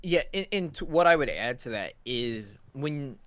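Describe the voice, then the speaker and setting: A man's voice: medium pitch, animated, measured pace, a bit bright, no room sound. A man co-hosting a casual review discussion, explaining his points and often checking in with the listener. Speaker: co-host asking questions.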